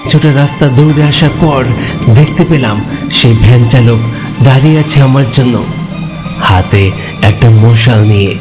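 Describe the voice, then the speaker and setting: A man's voice: medium pitch, unhurried, pauses often, music in the background, natural voice, poor audio. A man playing a frightened narrator who recounts a ghost story in a dramatic, suspenseful way. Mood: anxious, dramatic. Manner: suspenseful, with anxious repetition. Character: frightened male hotel customer recounting his ghost encounter. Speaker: first-person narrator.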